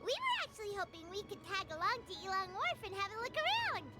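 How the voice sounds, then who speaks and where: A little floating fairy companion for a video game, childlike and cheeky. The voice is piercingly high and swings all over in pitch. She is chirpy, with prominent music underneath. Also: huge pitch swings, fast, exaggerated voice. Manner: speaks of self in third person.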